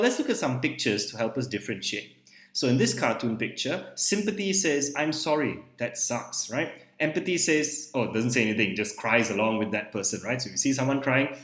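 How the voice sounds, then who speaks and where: A young man from Malaysia, a native Malay speaker, explains psychology concepts at a middle pitch. He checks in with the listener after nearly every point. The room around him has a hollow echo. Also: a bit bright. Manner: ends points with a questioning "right?". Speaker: psychology teacher. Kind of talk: recorded lecture over slides.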